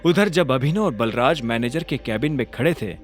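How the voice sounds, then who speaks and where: A man's voice: mid-range voice, animated, moderate pace. A man narrating a scripted audio drama in a dramatic storytelling style. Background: music.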